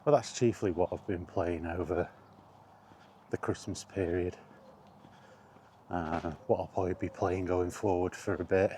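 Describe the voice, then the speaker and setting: A middle-aged man in an unhurried, halting, reflective solo chat as a gaming vlogger. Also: deep voice, steady tone, dark tone, a little hiss or hum. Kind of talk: rambling casual chat about video games.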